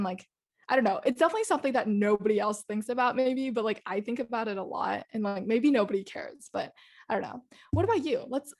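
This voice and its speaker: A young woman co-hosting a podcast, talking in a hesitant, casual way with many fillers. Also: mid-range voice. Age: young adult. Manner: hesitant, lots of "like" and "I don't know". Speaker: podcast co-host. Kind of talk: casual chat.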